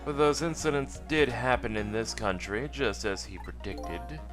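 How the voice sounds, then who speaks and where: A man's voice: mid-range voice, unhurried, over music, lightly acted. A man voicing a cool, aloof female villain from a video game. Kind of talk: video game character dub in a let's play.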